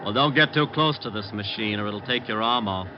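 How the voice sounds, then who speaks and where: An actor playing a curt, suspicious factory worker, gruff and defiant in 1940s radio style. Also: male voice, on the low side, a little fast, natural voice. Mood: surly. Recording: dull old radio recording, loud machinery noise.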